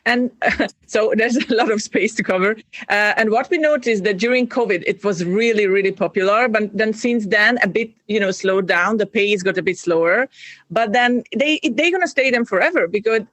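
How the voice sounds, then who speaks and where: A woman's voice: mid-range voice, normal speed, fairly bright tone, no echo. A woman, a guest chatting casually, laughing often between restarts and fillers. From Hungary. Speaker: podcast guest. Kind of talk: casual interview talk.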